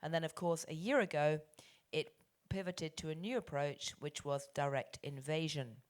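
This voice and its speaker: Brisk low-voiced British woman moderator. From Britain. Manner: brisk, articulate, with frequent ums. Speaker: panel moderator. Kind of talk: moderating a panel discussion.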